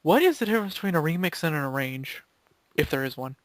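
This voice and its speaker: A man chatting casually in a group, jokey and quick to jump in. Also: fairly high pitch, animated, dry recording. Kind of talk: casual group chat, joking.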